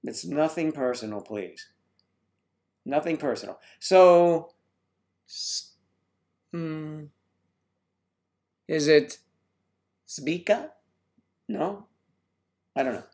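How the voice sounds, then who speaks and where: A man's voice: a little high, lively intonation, extremely slow, long pauses, a little mellow. Rambling man hosting a casual livestream. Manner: rambling, stumbles over viewers' names. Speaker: livestream host greeting chat viewers.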